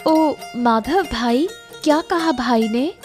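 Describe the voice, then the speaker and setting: A woman voicing a caring, patient young daughter-in-law in a cartoon story, gentle and solicitous. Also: high voice, slow pace, soft background music. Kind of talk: animated moral story acting.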